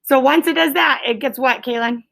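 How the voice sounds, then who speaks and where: A woman's voice: sits high, normal speed, vocal fry, a bit bright. A woman teaching a class as a schoolteacher, explaining in simple phrases and prompting pupils with leading questions in an encouraging way.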